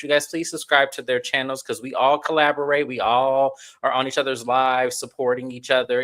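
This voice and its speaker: A man hosting a live stream, chatting casually to viewers as he recaps a reality show episode. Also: medium pitch. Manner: chatty, animated, addresses viewers directly. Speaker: host.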